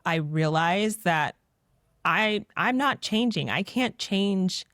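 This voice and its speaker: A woman's voice: lowish voice, expressive, takes it slowly, fairly bright tone, no room sound, clean studio sound. A woman hosting a podcast, speaking earnestly and reflectively and asking guiding questions. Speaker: podcast host.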